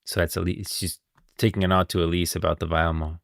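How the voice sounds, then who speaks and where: A man with a very low voice and a lot of vocal fry gives casual streamer commentary. He muses aloud informally about what he is reacting to, recorded close and dry. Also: flat delivery, quick pace, dry recording. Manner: informal, musing aloud, mild exclamations. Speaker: streamer, game lore commentator.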